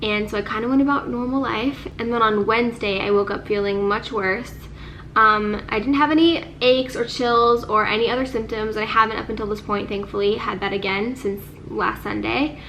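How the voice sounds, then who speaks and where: A woman's voice: a little high, steady tone, normal speed, a bit bright, a little hiss or hum, low-quality recording. Congested, nasal-sounding young woman vlogging. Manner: chatty, sprinkles speech with "like". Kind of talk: casual chat to camera.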